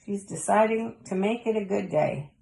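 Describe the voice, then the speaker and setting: Mid-pitched woman reading to children. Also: moderate pace, vocal fry, dark tone, rough recording. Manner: chatty asides addressed to young listeners. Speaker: storybook reader. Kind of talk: read-aloud narration for children.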